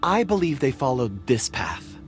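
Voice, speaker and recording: A man's voice: mid-range voice, sing-song, moderate pace, faint music behind, lightly acted. A man acting a polite, earnest and slightly hesitant young man in a video game. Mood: earnest explaining. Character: polite, earnest young man who reads ore memories.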